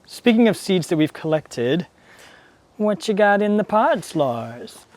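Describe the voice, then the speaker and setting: A relaxed man adds calm explanations during a casual garden walk. His voice is a little higher than most men's. He talks in an easy, conversational way. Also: slow pace, slight background noise. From Georgia, US. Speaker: garden show co-host. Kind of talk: casual garden tour chat. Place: outdoor garden.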